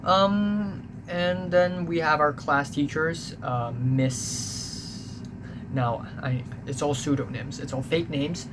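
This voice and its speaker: A man's voice: a little high, takes it slowly. A young man from Hong Kong with a Hong Kong English accent, a native Cantonese speaker, telling a casual, rambling story that he keeps correcting and that he spices with swearing. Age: young adult. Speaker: vlogger. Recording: noticeable background noise.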